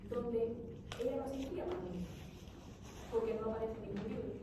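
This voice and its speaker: A middle-aged woman from Cuba with a Cuban accent, giving earnest, halting impromptu testimony at a public event. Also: a little high. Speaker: audience member giving personal testimony. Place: event hall, recorded from a distance. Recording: echoey hall, distant mic, noisy background.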